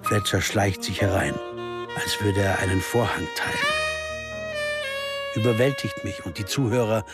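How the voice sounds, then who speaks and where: A man playing a brooding musician turned sleuth in a radio crime play. His voice sits fairly low, reflective and a little hesitant, with music clearly underneath. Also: normal speed, long pauses, lightly acted. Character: worried, brooding male jazz pianist turned amateur sleuth. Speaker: actor playing the narrator and lead. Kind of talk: German crime radio drama, narration and dialogue.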